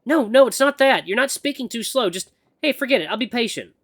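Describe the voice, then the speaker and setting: A man plays a young, sensitive apprentice runner in an audio story. The voice is high and very animated, stammering and expressive, quick to tip into sweary exasperation. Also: no echo, studio quality, heavily acted. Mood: apologetic, flustered.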